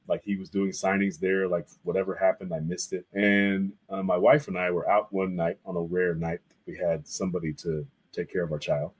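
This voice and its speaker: A man in his thirties with a low, slightly husky voice. He chats as a guest in a casual interview, spinning enthusiastic, meandering stories. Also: rough recording. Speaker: guest artist and art teacher.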